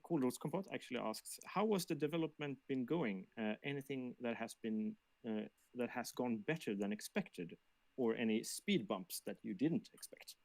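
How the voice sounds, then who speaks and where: A man co-hosting a casual livestream, speaking in a halting way and often repeating his words. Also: mid-range voice, expressive, no room sound, rough recording. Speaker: livestream co-host reading backer questions.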